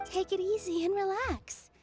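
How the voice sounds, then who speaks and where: An encouraging young dancer for an anime game. Her tone is soft and girlish, pitched very high. Faint music sits behind her. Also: fairly even intonation, normal speed. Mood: gentle.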